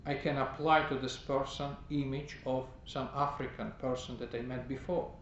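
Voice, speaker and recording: A man, a priest, giving a teaching sermon in a slow, halting way, pausing often and repeating words for emphasis. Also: mid-range voice, level tone. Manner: slow, halting, with many pauses and fillers. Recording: roomy, dull, noisy recording.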